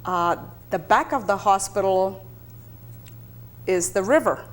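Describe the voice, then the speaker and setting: A woman from South Texas, a native Spanish speaker and bilingual teacher, recalling her life as an interview guest in a measured, deliberate way. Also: medium pitch. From South Texas, United States. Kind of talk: personal recollection in an interview.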